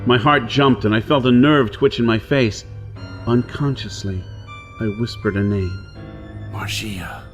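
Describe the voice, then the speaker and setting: A male actor plays a hard-pressed outlaw in a pulp science fiction radio drama. He is wary and brusque, with a brooding, dramatic delivery in a medium-pitched voice. Faint music runs underneath. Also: a little slow, close to an everyday voice. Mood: brooding, reflective. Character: brusque, wary hunted outlaw man on Mars. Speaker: narrator and lead actor. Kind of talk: pulp radio drama acting and first-person narration.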